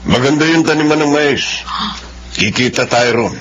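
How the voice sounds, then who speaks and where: A man's voice: on the high side, normal speed, fairly bright tone. A man with a Filipino accent acting as a callous, money-minded middle-aged father in a radio drama. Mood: brusque, calculating. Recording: dull, faintly noisy radio recording.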